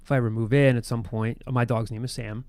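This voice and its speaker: A man in his thirties hosting a casual sports podcast, hoarse from getting over an illness, telling rambling, self-deprecating stories. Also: mid-range voice, level tone, fast talker, a little mellow, no echo, clean studio sound. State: losing his voice after being sick. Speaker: podcast host.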